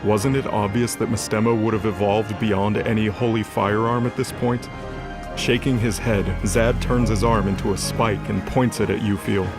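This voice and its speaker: Male narrator for fantasy audio drama, low voice, scripted dramatic read over music. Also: steady tone, moderate pace. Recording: music bed behind voice.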